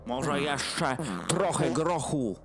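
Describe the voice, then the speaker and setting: A man's voice: fairly high pitch, extremely slow, loud music behind the voice. A man playing a cheeky dog who talks in rhyme in a comic Christmas audio play. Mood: taunting. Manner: cheeky, rhyming.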